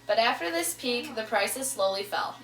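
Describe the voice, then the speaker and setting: A woman's voice: fairly high pitch, animated, moderate pace, fairly bright tone. Woman as echoey 1920s news anchor. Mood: matter-of-fact. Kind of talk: scripted amateur mock newscast. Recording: roomy distant mic, faint music.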